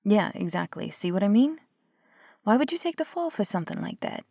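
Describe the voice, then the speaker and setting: A woman's voice: mid-range voice, big pitch swings, brisk, vocal fry, darkish tone, no echo, clean studio sound. A young woman performing a one-sided audio roleplay as a skeptical investigator, arguing and sarcastic, sometimes dropping to a whisper. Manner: skeptical, argumentative, sarcastic, swears casually.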